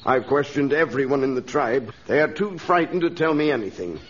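A man's voice: mid-range voice, lively intonation, a little fast, fairly bright tone. A man playing an earnest, anxious missionary in a radio adventure serial, well-spoken and urgent. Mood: worried. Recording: dull, noisy old radio recording.

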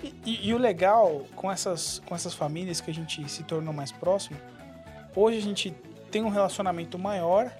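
A man from Brazil co-hosting a casual podcast, talking in a relaxed, agreeable way. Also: sits high, normal speed, faint music behind. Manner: relaxed, agreeable, leans on "cara" and "né". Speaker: podcast co-host. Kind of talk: casual chat.

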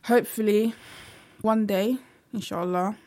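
A woman's voice: medium pitch, fairly even intonation, measured pace, dark tone. A young woman speaking earnestly in a casual vlog monologue, with frequent fillers like "like" and "you know". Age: young adult. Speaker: vlogger.

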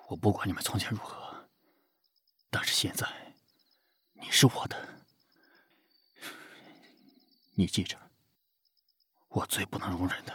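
A man's voice: on the low side, bright, forceful tone, husky, no echo, heavily acted. A man in an audio drama playing a cold, jealous and possessive company boss with a curt, sardonic way of talking. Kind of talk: amateur Chinese audio drama acting.

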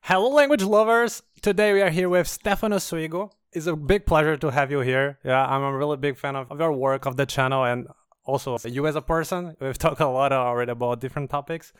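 Man, smooth voice, slightly high, friendly podcast host. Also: fairly even intonation, fairly quick, no echo, clean studio sound. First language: Portuguese. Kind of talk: hosting an interview.

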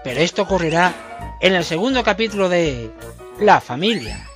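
High-pitched male narrator over music. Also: big pitch swings, moderate pace, fairly bright tone. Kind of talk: scripted comic radio serial narration.

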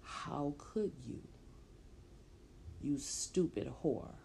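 A woman's voice: low-pitched, animated, drawn-out words, vocal fry, dark tone, a little hiss or hum, exaggerated voice, rough recording. A woman voicing a cruel, cold male tradesman. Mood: enraged. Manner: harsh, dismissive.

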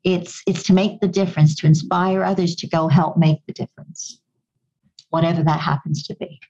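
Woman with a bit of an english kenyan accent, earnest and reflective, kinda low mellow voice, dull lo-fi sound. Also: fairly even intonation, normal speed. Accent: slight English-tinged Kenyan. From Goa, India; raised in Kenya. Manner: earnest, reflective, repeats words as she restarts. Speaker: podcast guest, leadership speaker. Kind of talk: conversational interview answers.

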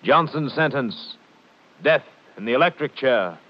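A man's voice: mid-range voice, expressive, a little slow, loud music behind the voice. A man giving formal, solemn scripted narration in 1950s radio style. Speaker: narrator, announcer. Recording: lo-fi 1950 radio recording, faint crackle.

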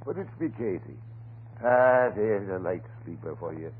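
An actor playing a chatty, stubborn off-duty police sergeant in an old-time radio drama, with a blustering, folksy way of talking. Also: male voice, low-pitched, animated, moderate pace, breathy edge. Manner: blustering, garrulous, folksy turns of phrase. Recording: noisy, narrow-band vintage radio recording.

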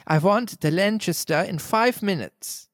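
A man voicing a cold, calm villain. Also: high voice, dry recording, clean studio sound, long reverb tail, voice pushed up. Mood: commanding. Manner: calm, measured, threatening. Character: cold, menacing, clever male villain.

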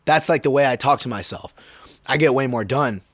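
A young man with a sore throat, slightly hoarse, hosting his own podcast in a laid-back, slangy, rambling way. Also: medium pitch, fairly quick, no echo. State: sore throat, getting over being sick. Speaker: podcast host. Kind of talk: casual solo podcast talk.

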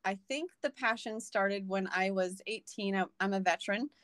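A woman's voice: medium pitch, moderate pace, a bit bright, no echo, studio quality. A young adult woman, a podcast guest, talking earnestly in a casual conversation, with many ums and restarts.

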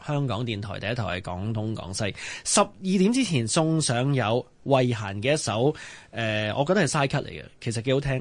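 A man's voice: medium pitch, no room sound. A man with a Hong Kong Cantonese accent hosting and moderating a casual radio talk show.

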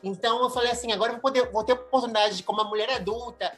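A woman's voice: fairly high pitch, fairly even intonation, rapid-fire, a bit bright, soft background music. A Brazilian woman in her thirties, a singer-songwriter, talking expressively in a casual interview. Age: 30s.

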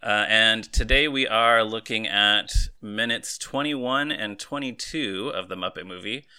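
A man's voice: on the low side, unhurried, bright, forceful tone, smooth. A man in his mid-thirties hosting a casual movie podcast. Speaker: podcast host.

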